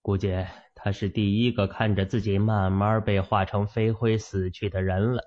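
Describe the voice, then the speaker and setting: A man's voice: fairly low pitch, flat delivery, normal speed, a little mellow, lo-fi sound. A man narrating fiction in a dramatic, scripted audiobook style.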